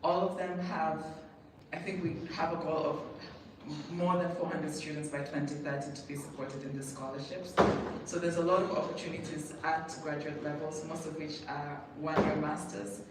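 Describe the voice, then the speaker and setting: A speaker from Tanzania giving a measured public presentation with pauses, as a university programme representative. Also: medium pitch, flat delivery. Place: echoey event hall with audience. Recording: echoey room, distant mic, clearly noisy.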